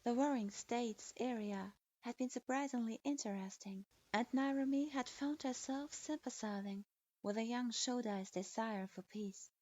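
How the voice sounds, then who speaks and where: A woman reading a fan fiction story aloud in an even storytelling manner. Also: a little high, moderate pace, dry recording. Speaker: story reader. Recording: dull lo-fi.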